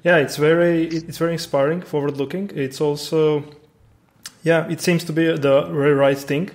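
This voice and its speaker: A man hosting an interview, asking questions in a hesitant, friendly way with many pauses. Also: on the high side, steady tone, darkish tone. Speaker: interviewer, host. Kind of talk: casual interview talk.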